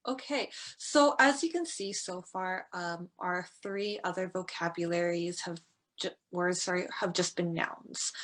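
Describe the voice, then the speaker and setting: A woman's voice: on the low side, fairly bright tone. A young woman with a Canadian accent tutoring a student in English pronunciation, chatty and encouraging. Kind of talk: one-on-one English lesson.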